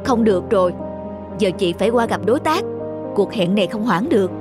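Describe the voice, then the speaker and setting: A woman voicing a busy, courteous office worker in a cartoon, with a brisk manner. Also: mid-range voice, lively intonation, natural voice. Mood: busy, worried. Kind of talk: cartoon dubbing, everyday dialogue. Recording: music behind the voice.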